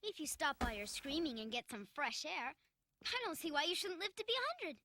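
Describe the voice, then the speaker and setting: A woman playing a spirited, headstrong young girl in an animated drama, blunt, bossy and emphatic. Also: high-pitched, fairly quick, dry recording, heavily acted. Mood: reassuring.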